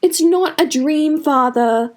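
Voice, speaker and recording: Earnest young village woman, high and insistent, acted by a woman narrator, storybook fantasy character. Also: slow pace, a little mellow. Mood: urgent. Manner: urgent, insistent.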